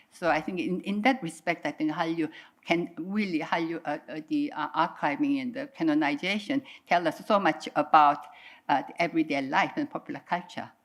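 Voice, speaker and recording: Woman wrapping up a talk, low voice, hesitant, lots of fillers. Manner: hesitant, many fillers and restarts. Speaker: conference presenter. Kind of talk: academic panel remarks. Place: conference hall.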